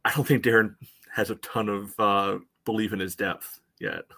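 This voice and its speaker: Man, fairly low voice, soccer podcast co-host, casual analysis, conversational, hedging phrasing. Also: fairly even intonation, moderate pace, pushed and bright. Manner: conversational, hedging, frequent "you know".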